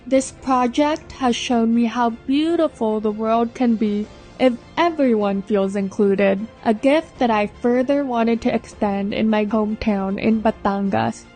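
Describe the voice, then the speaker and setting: Earnest young woman, bright voice. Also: on the high side, a little slow, hardly any pauses, faint music behind. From Philippines. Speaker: pageant contestant. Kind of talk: speech to camera and scripted voice-over.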